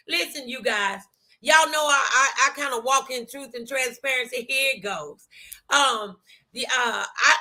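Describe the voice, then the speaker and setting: American female inspirational host, high pitch, forceful delivery; folksy, exhorting, direct to the audience. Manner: emphatic, exhorting, with repeated rhetorical phrases; folksy, colloquial, direct address. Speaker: host of an inspirational talk show. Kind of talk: motivational faith talk to live viewers.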